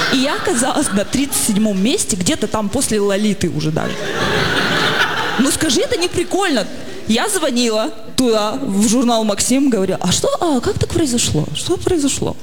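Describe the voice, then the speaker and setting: A woman's voice: fairly high pitch, sing-song, moderate pace. A woman in her forties doing a chatty, self-mocking stand-up comedy monologue. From Russia. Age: 40s. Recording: long hall reverb, audience noise.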